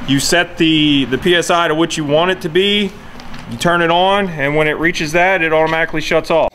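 A man's voice: fairly high pitch, fairly even intonation, brisk, fairly bright tone, echoey room, a little hiss or hum. A middle-aged man explaining gear in a plain, matter-of-fact way in a workshop. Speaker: kayak maker. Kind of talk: casual explanation. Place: open garage workshop.

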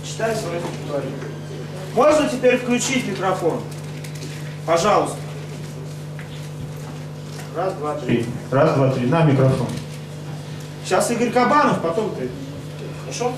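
A man hosting a live poetry evening on a club stage, brisk and bossy as he hurries the poets along. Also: sits high, very animated. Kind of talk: compering a live event. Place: stage of a rock cafe. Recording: echoey club room, far mic, audible noise.

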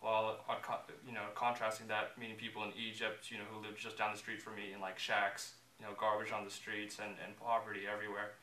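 A young man from Indonesia talks casually in an interview. His voice is bright and quite monotone, heard a little far from the mic in an echoey room. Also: fairly low pitch, fairly quick, few pauses. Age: early 20s. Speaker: student interviewee. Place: small bare room indoors. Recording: echoey room, distant mic, faint noise.

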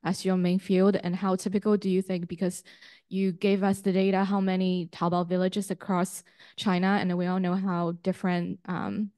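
Even-toned woman asking a question. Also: fairly low pitch, normal speed, smooth, no echo, clean studio sound. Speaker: audience member asking a question. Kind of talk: polite academic question.

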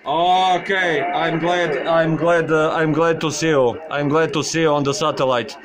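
Halting man on a ham radio. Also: fairly high pitch, steady tone, over a noisy crowd. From Croatia. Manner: halting, repeats words, friendly. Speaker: amateur radio operator. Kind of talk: amateur radio contact.

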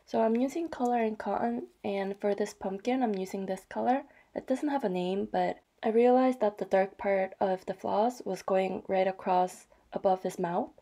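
A woman vlogger narrating her craft projects in a soft, chatty way. She is slightly hoarse from a cold and adds small apologies and fillers. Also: mid-range voice, fairly even intonation, moderate pace, few pauses. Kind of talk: casual show-and-tell narration.

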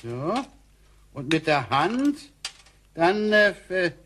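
A high-voiced grandfather in a sci-fi radio play. He is a patient but grumbly inventor who explains and coaxes hesitantly, with clear noise around him. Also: big pitch swings, extremely slow, a bit bright, heavily acted. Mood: explaining. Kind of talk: German radio play acting.

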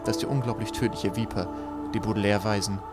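A man's voice: fairly low pitch, fairly even intonation, fast talker, over loud music. A man reading a storybook aloud as scripted narration. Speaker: audiobook reader.

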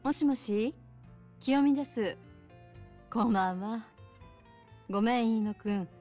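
An actress playing a kind, soft-spoken bar owner with a polite, considerate manner in a video game cutscene. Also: female voice, on the high side, measured pace, soft background music, close to an everyday voice. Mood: polite phone manner. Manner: polite, considerate, gently casual.

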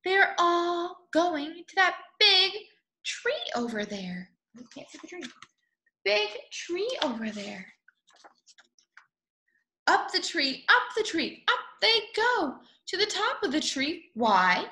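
A woman in her twenties reading a picture book aloud to young children in an expressive way, with drawn-out words. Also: very high voice. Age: 20s. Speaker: storybook reader. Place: small room at home, indoors. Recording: echoey room.

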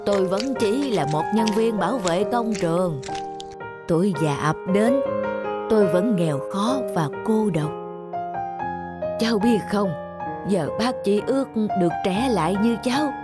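A woman's voice: medium pitch, lightly acted. A woman voicing a weary, kindly, regretful old man giving reflective advice in a cartoon. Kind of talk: moral-tale cartoon dubbing.

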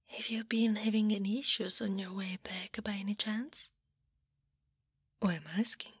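A woman playing an affectionate, teasing slime-monster wife in an intimate, breathy ASMR roleplay whisper. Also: mid-range voice, a little hiss or hum. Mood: concerned. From Italy. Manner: slow, intimate, soothing.

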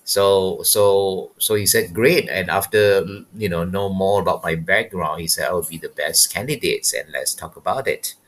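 A man in his fifties with a Malaysian accent, a guest telling his story in a podcast interview, halting and repeating words as he searches for them. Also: low-pitched, a bit bright. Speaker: guest, wildlife biologist.

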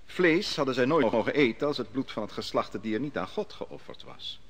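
A man's voice: medium pitch, lively intonation, close to an everyday voice. An actor playing a grown exile who solemnly recounts his youth at a foreign king's court in an old radio play. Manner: measured, solemn storytelling. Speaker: narrating prophet. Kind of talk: 1950s Dutch radio drama acting.

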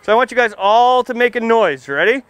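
A man's voice: very high-pitched, expressive, a little fast, fairly bright tone, slight background noise. A man speaking outdoors as a firefighter presenting to children, in simple, playful language with frequent questions and check-ins. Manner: asks questions, checks in often with "okay" and "right"; playful teasing. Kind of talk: simple interactive safety talk for kids. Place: outdoors under a tent canopy beside a building, small seated audience.

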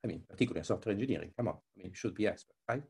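Male academic presenter. Soft-edged, slightly raspy tone, explains conversationally on a video call. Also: lowish voice, animated, rapid-fire. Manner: conversational, explanatory, with rhetorical questions. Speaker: presenter, researcher.

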